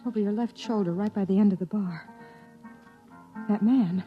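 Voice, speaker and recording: An actress playing an anxious wife on the run in a 1950s radio drama, with an emotional, pleading delivery. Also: female voice, mid-range voice, moderate pace, muffled recording, faint music behind, natural voice. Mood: frightened.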